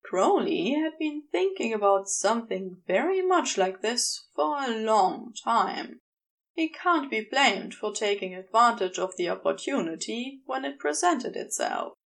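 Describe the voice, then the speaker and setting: A woman reading a piece of fiction in a measured, deliberate, intimate storytelling style. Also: a little high, huge pitch swings, vocal fry. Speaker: amateur audiobook narrator.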